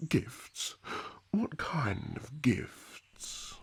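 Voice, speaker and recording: A man's voice: medium pitch, big pitch swings, extremely slow, slightly rough, no echo, full character voice. A man playing a stern, old-fashioned, exasperated elf king with a regal, blustering manner in a fantasy audio roleplay. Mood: shocked.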